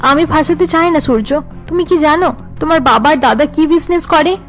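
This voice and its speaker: A woman voices a resolute young policewoman wife in cartoon melodrama. Her high voice explains things earnestly over faint music. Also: normal speed, close to an everyday voice. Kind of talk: cartoon drama dialogue.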